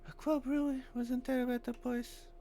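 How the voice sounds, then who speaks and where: A man's voice: very high-pitched, flat delivery, normal speed, warm tone, a little hiss or hum, voice pushed up. A man voicing a wary, irritable young woman who protests and questions, in a visual novel. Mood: indignant.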